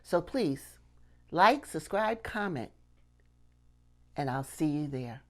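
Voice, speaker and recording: Lower-voiced middle-aged woman teaching casually. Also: animated, takes it slowly. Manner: conversational, checks in often with rhetorical questions. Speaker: puppetry instructor and channel host. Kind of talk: casual instructional talk to camera. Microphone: clip-on lapel mic.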